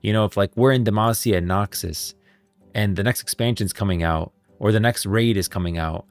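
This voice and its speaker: Low-pitched man with a gravelly creak. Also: no echo, faint music behind. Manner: informal, halting, repeats phrases while thinking aloud. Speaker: streamer, host of a gaming lore stream. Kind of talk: casual livestream chat, reading posts aloud.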